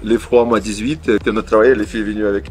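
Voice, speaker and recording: A man's voice: on the low side, steady tone, fast, darkish tone. A man speaking as an artistic director in an interview, hesitant, with many fillers and restarts. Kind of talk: interview answers.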